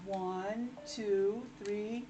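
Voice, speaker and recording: A woman's voice: medium pitch, steady tone, very slow, warm tone. A woman teacher reading a picture book aloud to young children, breaking off for simple conversational asides. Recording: clearly noisy background.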